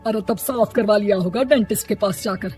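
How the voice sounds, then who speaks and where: A woman's voice: mid-range voice, a little fast, warm tone, soft background music, lightly acted. A woman voicing a nagging middle-aged mother-in-law in a cartoon, taunting and scolding. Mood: disgusted. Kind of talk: cartoon dub acting.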